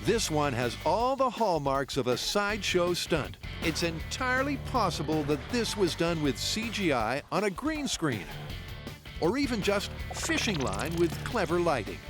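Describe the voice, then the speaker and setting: A man's voice: on the high side, sing-song, a little slow. Wry male documentary narrator. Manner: wry, punny voice-over. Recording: voice-over with music behind.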